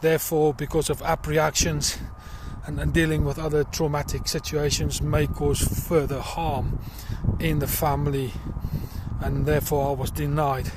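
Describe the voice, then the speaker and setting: A man's voice: fairly high pitch, little pitch movement, very noisy. South African man talking on a walk. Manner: earnest and reflective, pausing with fillers. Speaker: crowdfunding campaigner. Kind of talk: personal walking vlog. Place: outdoors in a field.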